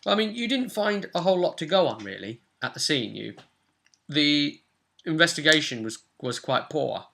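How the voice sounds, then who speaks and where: A man's voice: fairly high pitch, big pitch swings, moderate pace, gravelly, sharp tone. A young man with a British accent casually recapping a tabletop role-playing session as its game master. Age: young adult. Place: small cluttered room at home.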